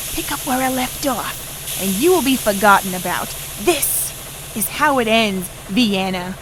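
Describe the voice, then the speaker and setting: Woman acting a slow, gloating villainous pony mare. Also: on the high side, a bit bright, slight background noise, poor audio. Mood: menacing. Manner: theatrical, taunting, drawn-out delivery. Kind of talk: dramatic audio drama acting.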